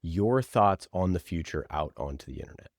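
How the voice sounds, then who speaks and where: A low-pitched man giving conversational answers as an interview guest on a podcast. He is emphatic, often repeating a phrase to drive it home, and the sound is close and dry. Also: lively intonation, normal speed, gravelly, no room sound.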